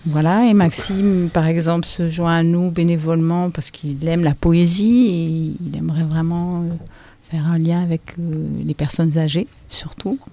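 A woman who teaches dance, answering interview questions slowly and hesitantly, with fillers. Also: lowish voice, fairly even intonation, mellow.

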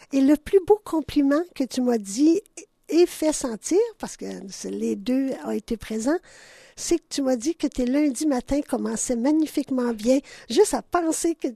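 An older woman with a Canadian French accent speaks in a high, mellow voice, effusive and affectionate, often breaking into laughter. She hosts a radio show from a dry-sounding studio. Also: gravelly, dry recording. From Ontario, Canada. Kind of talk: casual radio chat.

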